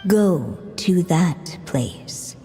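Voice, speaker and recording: A woman playing a mysterious fairy-tale storyteller in a video game, narrating in a measured, poetic way. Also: on the low side, expressive, warm tone, faint music behind. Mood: enigmatic. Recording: long reverb.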